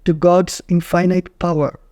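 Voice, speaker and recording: A man narrating a guided meditation in short, measured phrases with frequent pauses. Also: sits high, little pitch movement, a little mellow, no room sound, clean studio sound.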